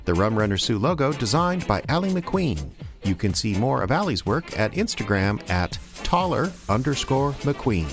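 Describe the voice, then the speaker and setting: A man reading scripted closing credits as the announcer of an audio drama. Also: mid-range voice.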